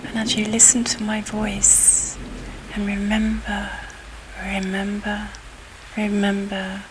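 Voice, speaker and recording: A woman leads a guided meditation very slowly, leaving long gaps between short phrases. Her voice is hushed and soothing, staying on a narrow, even pitch. Steady rain and thunder run clearly behind her. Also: noisy background. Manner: soothing, hushed and breathy delivery with long pauses. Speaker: hypnotherapist guiding a meditation. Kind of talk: guided relaxation hypnosis, ASMR-like. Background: rain and thunder soundscape.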